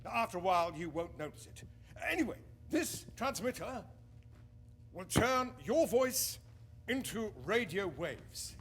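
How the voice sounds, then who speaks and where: A man playing a courteous, cautious 1920s radio engineer in a period radio drama, speaking in a measured, explanatory way. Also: high-pitched, very animated, fairly bright tone, full character voice. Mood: courteous, welcoming.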